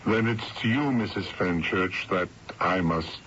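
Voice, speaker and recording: An actor playing a grave, puzzled hospital doctor in a radio drama, speaking formally and earnestly. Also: male voice, deep voice, unhurried, fairly bright tone. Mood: grave, sympathetic. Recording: dull, noisy old radio broadcast.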